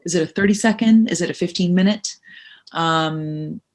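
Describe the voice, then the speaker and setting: A woman's voice: fairly low pitch, moderate pace, a little mellow. A middle-aged woman, a voice-over coach and actress, answering interview questions with emphatic, practical advice. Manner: practical, emphatic, uses second person advice.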